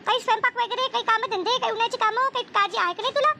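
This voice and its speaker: Cartoon village housewife, played by a woman: very high, bright voice, rural Maharashtrian accent, bossy, chatty, caring. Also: very fast, creaky, a little hiss or hum, exaggerated voice. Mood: teasing, bossy. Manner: chatty, scolding, rambling rural homemaker talk. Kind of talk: comedy cartoon dubbing.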